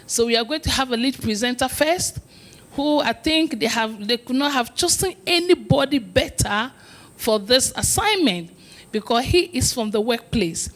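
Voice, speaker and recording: Woman moderator with a higher voice. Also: expressive, normal speed. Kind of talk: introducing a forum session.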